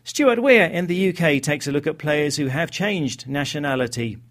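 A man's voice: a little high, lively intonation, moderate pace, a bit bright. A man presenting a radio football show, reading out contact details and introducing the next report.

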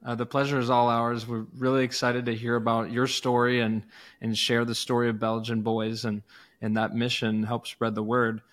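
A man's voice: mid-range voice, little pitch movement, normal speed, a bit bright. A man co-hosting a podcast, introducing and interviewing guests in an upbeat, enthusiastic way.

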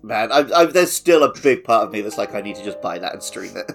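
A man's voice: lowish voice, animated, fairly quick, creaky, fairly bright tone, soft background music. A man hosting a podcast in a casual, chatty style. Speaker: podcast host. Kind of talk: casual chat.

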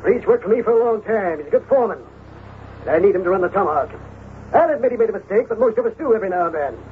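A domineering rich cattle baron talks briskly, smooth and calculating. It is old-time radio villainy on a thin, band-limited recording with faint noise. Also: male voice, high-pitched, lively intonation, phone-quality sound. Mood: insistent, self-assured.

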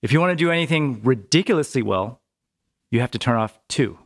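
A man's voice: mid-range voice, brisk. Chatty man telling business stories. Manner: relaxed, chatty, tags sentences with "right?". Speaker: podcast guest, business owner. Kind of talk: casual conversational interview.

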